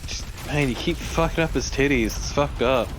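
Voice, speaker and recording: A man's voice: medium pitch, normal speed, background noise. A man co-commentating a video game in casual, crude, teasing banter. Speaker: let's play co-commentator. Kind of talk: casual banter over gameplay.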